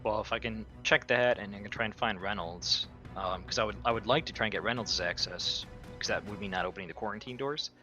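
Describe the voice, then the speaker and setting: A man's voice: fairly low pitch, a little fast, bright, forceful tone, highs cut off, faint music behind. A man talking casually as a player in a tabletop roleplaying game.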